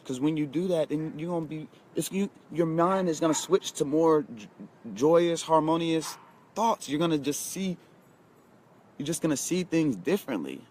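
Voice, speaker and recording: A man's voice: fairly high pitch, expressive, moderate pace, pauses often, darkish tone. A man in his twenties giving a casual motivational talk, emphatic, repeating himself and speaking straight to his viewers. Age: 20s. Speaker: motivational vlogger.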